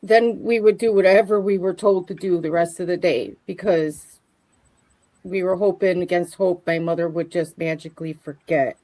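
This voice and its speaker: A woman's voice: lowish voice, steady tone, normal speed, dark tone, poor audio. A middle-aged woman co-hosting a livestream in casual conversation. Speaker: livestream co-host. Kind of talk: casual chat.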